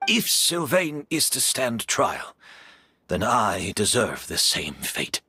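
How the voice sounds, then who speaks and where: A man's voice: on the low side, big pitch swings, pushed and bright, a little hiss or hum, exaggerated voice. An actor playing a remorseful middle-aged man who blames himself. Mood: calm. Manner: measured, regretful. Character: remorseful middle-aged male perfume dealer.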